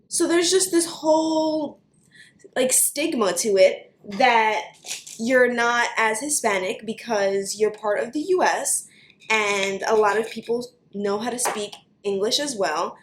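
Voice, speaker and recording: A young woman with a New York accent, ranting to camera for her vlog in an animated, chatty way with plenty of "like". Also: fairly high pitch, roomy sound, a little hiss or hum. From United States. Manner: animated, fast, chatty with many likes. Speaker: beauty vlogger.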